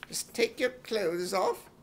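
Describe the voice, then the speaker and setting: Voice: mid-range voice, animated, slow pace. A performer voicing a frail, fussy, miserly old male pawnbroker with an imitation British accent, in a radio comedy. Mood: fussy, doddering.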